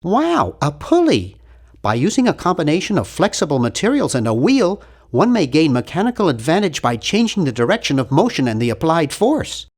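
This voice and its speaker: A man's voice: on the high side, wildly swooping pitch, moderate pace, a little mellow, exaggerated voice. A man playing an earnest, awkward, nerdy young cartoon hero in an adventure game, with a nasal, geeky, carefully worded delivery. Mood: matter-of-fact. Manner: geeky, over-articulate, earnest. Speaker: video game voice actor. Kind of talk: animated adventure game character lines.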